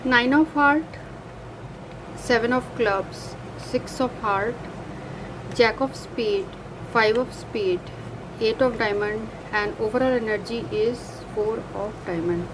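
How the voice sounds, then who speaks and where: High-voiced woman with an Indian accent. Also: slow pace, noisy background. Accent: Indian English. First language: Hindi. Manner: hesitant, many uh fillers and self-corrections. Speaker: card reader. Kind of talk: casual card reading to camera.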